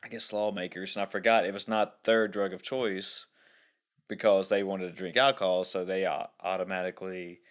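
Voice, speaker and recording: A man hosting a podcast, talking in a relaxed, hesitant way with plenty of fillers. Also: sits low, normal speed, clear, resonant tone. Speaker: podcast host. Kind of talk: casual conversation.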